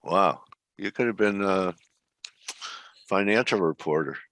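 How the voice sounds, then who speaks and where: A man's voice: sits low, measured pace, a bit bright, no echo. A man hosting a live market webinar, trading jokes with his co-hosts in a laid-back way. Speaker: host. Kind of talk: casual webinar banter.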